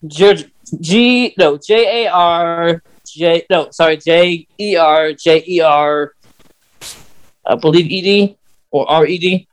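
A man co-hosting a podcast in casual conversation, talking in a halting way. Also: on the high side, clear, resonant tone, low-quality recording. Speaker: podcast co-host.

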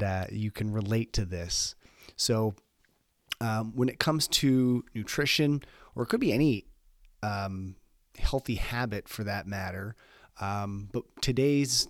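A man with a cold hosting a solo podcast, his voice a little squeaky and stuffed up, talking in a relaxed, rambling way with many fillers. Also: no echo, studio quality. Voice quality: slightly squeaky voice. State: congested from a cold. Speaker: podcast host.

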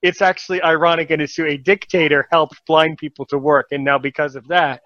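A man's voice: on the high side, steady tone, fairly quick, a bit bright, no echo, lo-fi sound. A man hosting a casual podcast talk show, encouraging and rambling, often correcting himself mid-sentence.

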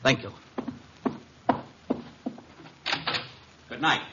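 A man's voice: fast, background noise, natural voice. A man playing an impatient, evasive client in an old radio drama, speaking in an abrupt, edgy, rude way. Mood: uneasy. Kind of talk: 1940s-50s radio drama acting. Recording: dull vintage radio recording.

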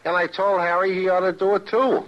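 A man's voice: sits high, fairly even intonation, brisk, natural voice. A man playing a hapless, earnest husband in an old radio comedy sketch. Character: hapless, earnest suburban husband pleading innocence. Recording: dull vintage radio recording.

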